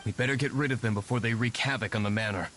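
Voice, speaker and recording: An actor playing a composed, aristocratic winery owner in a game cutscene, speaking in a formal, measured way. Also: male voice, medium pitch, slight background noise, natural voice. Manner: formal, measured, calmly authoritative.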